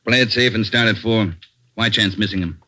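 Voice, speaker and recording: A man's voice: fairly low pitch, brisk, lightly acted. A man playing a commanding police chief in a 1940s radio crime drama, terse and authoritative. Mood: brisk, commanding. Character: brisk, commanding male police chief giving orders.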